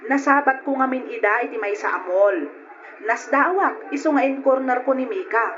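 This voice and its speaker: High-voiced gossiping young friend. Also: female voice, moderate pace, a bit bright, slight background noise. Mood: gossipy, insistent. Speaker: radio drama actress. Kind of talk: old-fashioned Ilocano radio drama acting. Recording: muffled old radio recording with music.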